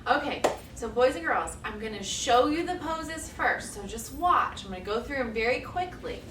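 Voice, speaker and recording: A woman's voice: a little high, expressive, normal speed, background noise. A woman teacher guiding young children through yoga poses step by step, with encouraging, simple singsong talk. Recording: echoey room, distant mic, music behind.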